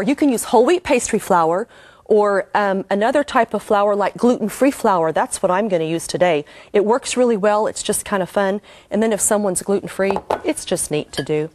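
A woman's voice: medium pitch, darkish tone, no room sound. Chatty woman hosting a cooking demo. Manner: homey, enthusiastic, chatty instructions. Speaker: cooking show host. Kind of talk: cooking demonstration.

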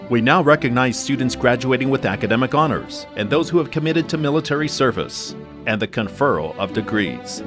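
A man's voice: medium pitch, expressive, brisk. A man makes formal, scripted ceremony announcements in a dry voice-over. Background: faint music.

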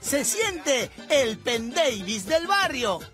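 A woman's voice: sits high, big pitch swings, slow. A woman with a Peruvian Spanish accent narrating a gossip segment in a sarcastic, teasing voice-over. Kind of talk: mocking scripted voice-over narration. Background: loud reggaeton music.

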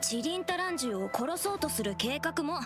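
A strong-willed young sister in an anime game. Her voice is high, and she speaks with earnest firmness. Music runs underneath. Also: expressive, fairly quick. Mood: scolding. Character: serious, strong-willed young woman, a scolding little sister.

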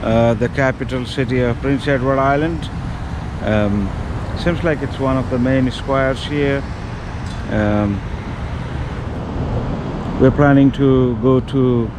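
A man's voice: medium pitch, steady tone, takes it slowly. A man in his fifties narrating a walking-tour travel vlog, hesitant with many ums, filmed on a handheld action camera outdoors. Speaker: travel vlogger. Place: outdoors on city streets. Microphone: action camera held at arm's length. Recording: outdoor street noise, traffic.

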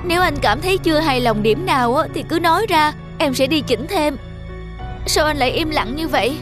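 A woman voicing an insecure young wife in an animated drama, plaintive and expressive. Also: high-pitched, brisk, lightly acted. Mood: hurt, upset. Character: insecure young wife anxious to look prettier. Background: background music.

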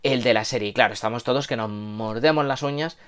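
A man's voice: medium pitch, fast talker, a bit bright, clean tone. A man in his thirties with a Castilian accent from Spain, co-hosting a casual news chat in a hesitant, slangy, chatty way. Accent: Castilian Spanish from Spain. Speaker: co-host of a manga and anime news show. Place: home room with bookshelves. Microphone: lapel mic.

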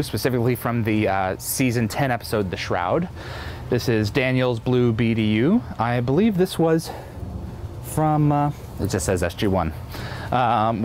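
A young man giving an enthusiastic, unscripted guided tour to camera in the manner of a keen fan, presenting for an auction company. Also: medium pitch. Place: large indoor museum exhibition hall. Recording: clear background noise, indoor venue ambience.

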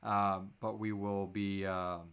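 A man's voice: on the low side, level tone, takes it slowly, a bit bright, clean tone. A man in his thirties chatting casually and jokily off the cuff as host of a live Q&A, at a computer mic in a small room at home. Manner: jokey, playful. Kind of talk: casual off-the-cuff livestream chat.

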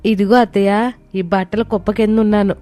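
A woman voicing a young daughter-in-law in a family story, plaintive, argumentative and quick to talk back. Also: mid-range voice, fairly even intonation, dark tone, natural voice. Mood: whining. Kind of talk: dramatized moral story voice acting. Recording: music behind the voice.

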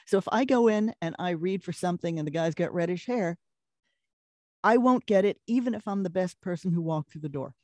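Deep-voiced Brooklyn woman telling stories. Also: fairly quick, dry recording. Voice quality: deeper voice. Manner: animated storyteller, laughs often. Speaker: voice actress, guest. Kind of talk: casual interview chat.

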